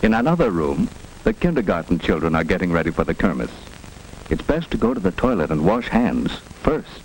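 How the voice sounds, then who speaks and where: Male narrator, lowish and animated, teaching children simply, 1940s school film with faint noise. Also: a little fast. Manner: instructive, simple phrasing for children. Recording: noisy 1940s film soundtrack with hiss.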